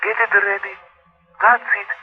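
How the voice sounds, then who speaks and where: An actress playing a sinister, insistent voice that coaxes and commands in a 1940s radio thriller. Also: female voice, medium pitch, flat delivery, close to an everyday voice. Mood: sinister coaxing. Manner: commanding, urgent, drawn-out coaxing. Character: sinister insistent voice coaxing a woman into murder. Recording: lo-fi old radio, loud music behind.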